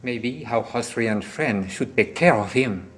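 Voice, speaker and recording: An actor playing a callous, restless member of a murderous secret society, terse and contemptuous, in a film drama. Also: male voice, medium pitch, a little slow, lightly acted. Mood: discontented, callous.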